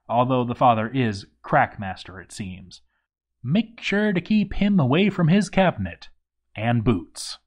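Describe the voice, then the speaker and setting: A man narrates a game log from a script, playing it in character. His voice is mid-pitched, and his delivery is wry and sarcastic, breaking into exclamatory asides. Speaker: narrator of a game story read-through.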